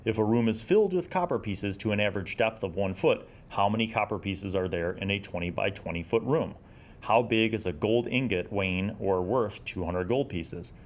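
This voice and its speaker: A man's voice: lowish voice, moderate pace. A man in his forties hosting a casual review, talking conversationally and enthusiastically and sometimes reading passages aloud. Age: 40s. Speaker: host of a gaming review series.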